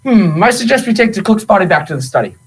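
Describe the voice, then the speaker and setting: A husky-voiced, fussy butler acted out by a woman in a farcical whodunit. He is melodramatic and easily flustered, delivering his lines in a broad stage-comedy style. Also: medium pitch, very animated, fast. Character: flustered, evasive male butler in a farcical murder mystery. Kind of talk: theatrical comic mystery acting.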